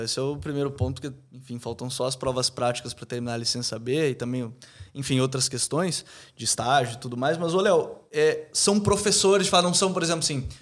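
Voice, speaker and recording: A man co-hosting a podcast, talking quickly and casually, adding asides to the discussion. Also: medium pitch. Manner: quick, conversational, adds asides. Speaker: podcast co-host, coaching student.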